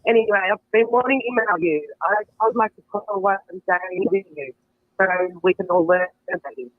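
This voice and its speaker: A man's voice: high voice, brisk, no echo. An older man from Australia calling into a show, talking in a hesitant, rambling way with giggles, over a tinny phone line. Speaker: caller.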